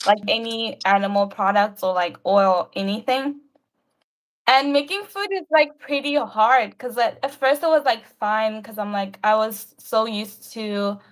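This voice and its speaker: A woman's voice: a little high, rough recording. A young adult woman with a South African accent chatting casually on a video call, rambling along with lots of filler "like". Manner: rambling, frequent "like". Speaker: livestream guest, university student. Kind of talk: casual chat.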